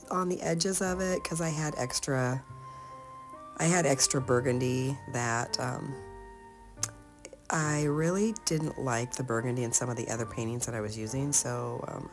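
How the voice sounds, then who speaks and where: Casting: woman host for an informal craft tutorial, very deep and a bit hoarse, halting delivery, music underneath. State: slightly hoarse from being sick. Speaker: host of an art tutorial channel. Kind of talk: casual painting tutorial narration.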